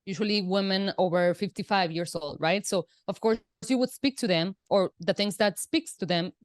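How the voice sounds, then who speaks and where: A woman's voice: mid-range voice, moderate pace, dry recording, clean studio sound. Spanish-speaking woman on a video call. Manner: chatty, explains with many fillers and restarts. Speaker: guest, Amazon seller. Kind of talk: casual interview chat.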